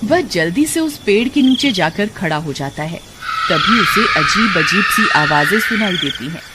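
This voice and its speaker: A woman's voice: lowish voice, lively intonation, moderate pace, very noisy. A woman narrating a scripted horror cartoon story. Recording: music behind the voice.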